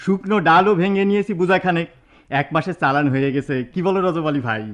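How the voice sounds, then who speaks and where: A man's voice: sits high, fast, lightly acted. An actor playing a thrifty, chatty village man in a radio drama. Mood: chatty, eager. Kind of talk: Bengali radio drama acting. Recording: muffled radio drama recording.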